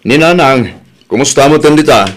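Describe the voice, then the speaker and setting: A man's voice: on the high side, normal speed, close to an everyday voice. A man playing a stern, protective elder brother in a radio drama, scolding, with an Ilocano accent. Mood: questioning.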